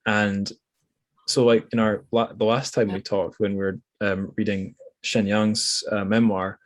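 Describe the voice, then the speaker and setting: Reflective man with a lowish voice, podcast hosting. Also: little pitch movement, a little slow. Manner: hesitant, reflective, self-correcting. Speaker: podcast host. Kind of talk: casual literary podcast discussion.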